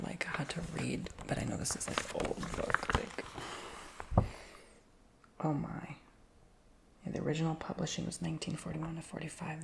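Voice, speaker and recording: A woman whispering casually in a show-and-tell video, rambling with lots of fillers and slowly reading out labels. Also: low voice. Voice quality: soft whisper with creaky edges. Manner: reads names and titles slowly.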